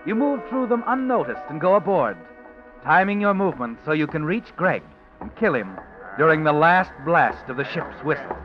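A man's voice: on the high side, very animated, moderate pace. A man narrating a radio drama in an intense, insinuating second-person style, on a muffled old radio recording with music underneath.

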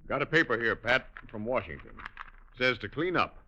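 A man's voice: medium pitch, sing-song, normal speed, sharp tone, a little hiss or hum. An actor playing an earnest, fatherly American governor in an old Wild West radio drama. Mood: businesslike. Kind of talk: 1950s radio western acting. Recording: dull old radio recording.

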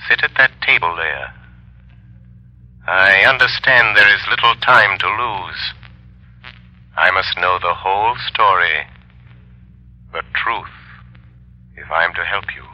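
An actor playing an invisible, mysterious crime-fighter, a man who speaks with imperious, menacing calm in a vintage radio drama. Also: deep voice, slow, pauses often, full character voice. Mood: commanding. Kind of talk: old-time radio drama acting. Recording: dull vintage radio recording.

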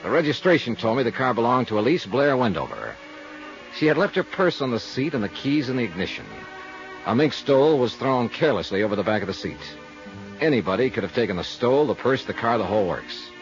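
An actor playing a wry, hard-boiled insurance investigator, narrating and talking in a clipped, dry way in a 1950s radio drama. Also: male voice, medium pitch, very animated, fairly quick, dull audio. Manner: clipped, terse, dry delivery. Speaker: detective narrator and lead character. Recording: vintage 1950s radio recording with music.

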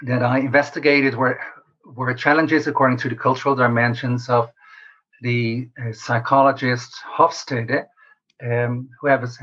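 A man answering interview questions as a researcher, explaining in a measured way with pauses mid-sentence. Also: mid-range voice, level tone, fairly bright tone. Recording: slightly dull lo-fi call audio.

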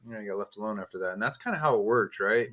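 A man with a fairly low voice runs a casual interview. He retells his guest's story and prompts him with questions, dropping in frequent short agreements. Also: lively intonation, rapid-fire, bright, forceful tone. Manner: leading questions, frequent backchannel "yeah".

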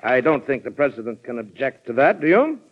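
A muffled, lo-fi vintage radio recording carries a proud American colonel. He is forceful and ambitious, speaking at a middling pitch in the firm manner of old broadcast drama. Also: sing-song, brisk. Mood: firm, businesslike.

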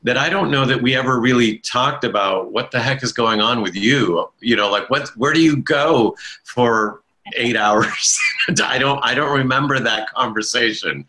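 A man being interviewed, recalling his past in a thoughtful, halting way with frequent restarts and a self-deprecating humor. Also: medium pitch, sharp tone, poor audio. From Italian-speaking family in America. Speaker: interview guest, stage performer. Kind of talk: reflective conversational storytelling.

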